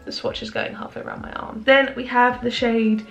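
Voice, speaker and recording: A young woman with a slightly high, gravelly, croaky voice chats away about her makeup at home. She rambles and pokes fun at herself, and her voice carries a clear edge of fry. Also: level tone, normal speed, highs cut off, faint music behind. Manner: chatty, self-deprecating, rambling. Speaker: beauty vlogger. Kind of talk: casual makeup review chat. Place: indoors at home in the evening.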